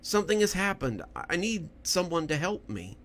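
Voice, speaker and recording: A man's voice: high-pitched, big pitch swings, normal speed, fairly bright tone, voice pushed up, exaggerated voice. A man voicing a confused, desperate male writer who stammers and pleads in a horror audio story. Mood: pleading, disbelieving.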